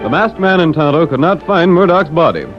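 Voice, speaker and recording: A man's voice: fairly high pitch, animated, fairly quick, music in the background. A man narrating a western drama as a 1930s radio announcer, in formal scripted style. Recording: muffled 1930s radio recording.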